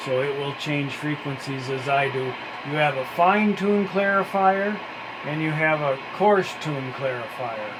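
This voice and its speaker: A man giving a casual, unscripted walkthrough of some gear, in a halting, matter-of-fact way. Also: a little high, noisy background. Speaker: radio hobbyist demonstrating gear.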